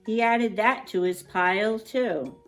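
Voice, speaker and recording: A woman reading a picture book aloud to young children in an expressive storytime style, drawing out words for emphasis and adding chatty asides. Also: mid-range voice, slow pace, soft background music.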